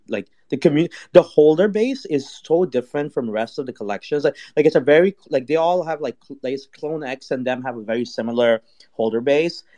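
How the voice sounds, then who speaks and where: Male panelist, mid-pitched voice, loose slangy chat with plenty of swearing. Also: a little mellow. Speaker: panelist on a crypto talk show.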